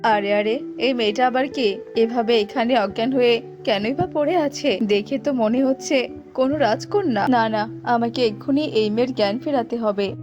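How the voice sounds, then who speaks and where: Motherly mystic voiced by a woman, raised pitch, instructive, wise, cartoon fairy tale, music behind. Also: moderate pace, natural voice. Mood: concerned. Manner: instructive, addressing listener as child. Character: motherly holy woman, wise guide to a young princess.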